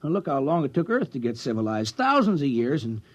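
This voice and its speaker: A man's voice: medium pitch, very animated, a little fast, dry recording. An actor playing a kindly, consoling village man in 1950s radio style. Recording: dull, dry old radio recording.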